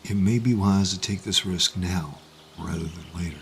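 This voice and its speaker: A man playing a calm, measured confidant who gives advice in a fantasy audio drama. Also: sits low, slight background noise, lightly acted. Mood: calm, concerned.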